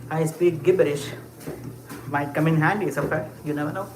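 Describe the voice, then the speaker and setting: A livestreamer rambles and muses aloud to the chat at a middle pitch, full of "um"s and little laughs. The voice bounces around a roomy, echoey space, with a steady wash of ambient noise clearly under it. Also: brisk. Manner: rambling, musing aloud with frequent fillers and short laughs; talks while walking. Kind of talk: casual live chat.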